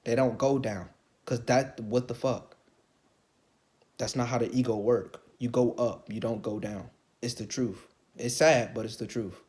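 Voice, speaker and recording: A man ranting casually to the camera, swearing often, repeating himself and rising to loud, excited outbursts. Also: mid-range voice, measured pace. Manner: calls his own voice light. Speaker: vlogger.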